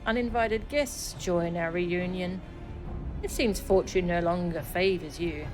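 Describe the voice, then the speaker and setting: A woman voicing a cold, condescending sorcerer father from a fantasy game, in archaic, measured speech. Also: fairly low pitch, natural voice. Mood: haughty. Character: haughty, scornful male sorcerer father, cold and taunting. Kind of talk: theatrical read-aloud of fantasy game dialogue. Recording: music behind the voice.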